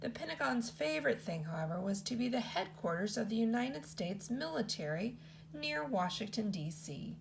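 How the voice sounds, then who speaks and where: A woman teacher reads a picture book aloud to children. Her voice has a gravelly, creaky texture and a lively, sing-song melody. A faint hum sits under her reading. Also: moderate pace. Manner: expressive read-aloud with lots of pitch movement.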